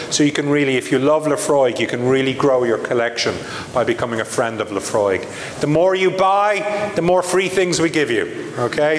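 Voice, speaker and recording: A man from Ireland with an Irish accent, giving a lively live sales presentation to an audience with chatty asides. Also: mid-range voice, a little hiss or hum. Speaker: brand ambassador presenter. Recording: echoey hall from far off.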